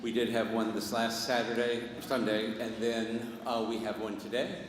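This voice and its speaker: Lay leader, male, mid-pitch, halting delivery, heavy hall echo. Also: little pitch movement, a little hiss or hum. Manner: halting, with restarts. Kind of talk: church announcement. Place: large church sanctuary. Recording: echoey hall with long reverb.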